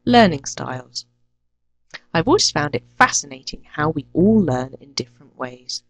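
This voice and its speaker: A woman with an English accent, introducing herself as an English teacher and listing her lessons clearly and deliberately. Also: low voice, very animated, no room sound. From England. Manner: clear, deliberate, lists items one by one. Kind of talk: self-introduction to a teaching channel.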